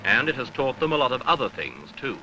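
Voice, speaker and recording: Bright, forceful male newsreel narrator. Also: medium pitch, big pitch swings, a little fast. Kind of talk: scripted documentary newsreel narration. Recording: noisy archival 1970s newsreel soundtrack.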